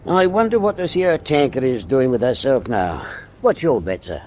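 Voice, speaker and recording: An actor playing a chatty, deferential working-class Londoner with a London accent in a radio drama, speaking colloquially. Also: male voice, mid-range voice, very animated, moderate pace, a little mellow, a little hiss or hum, lightly acted. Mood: musing, curious. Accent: London working-class English.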